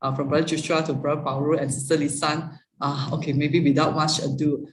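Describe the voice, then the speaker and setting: Halting moderator in a roomy distant echo. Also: male voice, a little high, flat delivery, background noise. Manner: polite, halting, many fillers. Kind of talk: hosting an online meeting.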